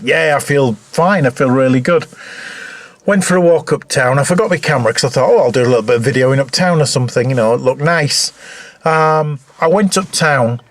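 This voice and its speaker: A man in his fifties records a casual video diary in an echoey room. His voice sits at a middling pitch. He talks in a hesitant, rambling, matter-of-fact way, feeling his way from one thought to the next. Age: 50s. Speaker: vlogger.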